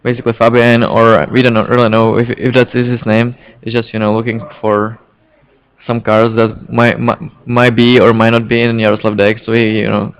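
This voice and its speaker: A man with a Czech accent casually commentating live on a card game, haltingly and often repeating his words. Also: mid-range voice, completely flat delivery, smooth. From Czech Republic. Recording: dull, lo-fi stream audio.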